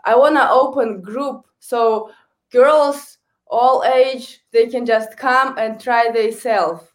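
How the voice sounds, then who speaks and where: A woman's voice: fairly high pitch, fairly even intonation, slow pace. A young adult woman from Kazakhstan, a native Kazakh speaker with a Kazakh accent, answering interview questions hesitantly in English as a hockey coach. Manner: hesitant, searching for words in non-native English.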